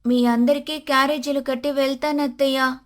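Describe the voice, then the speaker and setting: Woman voicing a meek, high-voiced young daughter-in-law. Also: flat delivery, normal speed, natural voice. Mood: respectful. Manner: meek, respectful.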